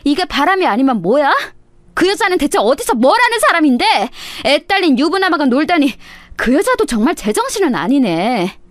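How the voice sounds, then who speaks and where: A woman's voice: sits high, sing-song, brisk, no room sound. A woman voicing a wronged young wife and mother, indignant and confrontational, in a dramatized story. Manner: confrontational, wounded. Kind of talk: dramatized radio story dialogue.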